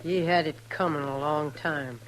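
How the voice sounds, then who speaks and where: Casting: woman to play tough, embittered cattle-rustling frontier woman, curt delivery, 1950s radio drama with clear background noise. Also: sits low, steady tone, normal speed, natural voice, poor audio. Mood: cold, curt. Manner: curt, defiant, cold. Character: hard, bitter frontier mother and cattle rustler. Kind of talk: 1950s radio western acting.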